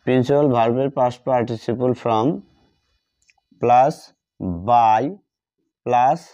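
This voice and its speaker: A man teaching English grammar, repeating words slowly as he writes them out. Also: medium pitch, fairly even intonation, warm tone, clean tone. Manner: slow, repeats words while writing them out. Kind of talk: slow classroom explanation while writing. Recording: dull lo-fi audio.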